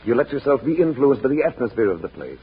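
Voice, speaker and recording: A firm, protective brother in a 1940s radio drama. His very husky voice reasons earnestly with lively swings of pitch, on a tinny old recording. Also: fast.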